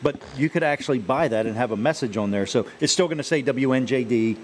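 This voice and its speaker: A man's voice: mid-range voice, fast, a little hiss or hum. A man co-hosting a live local radio show, teasing his partner in easy banter. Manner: teasing, laid-back banter. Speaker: radio co-host. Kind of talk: casual live radio chat.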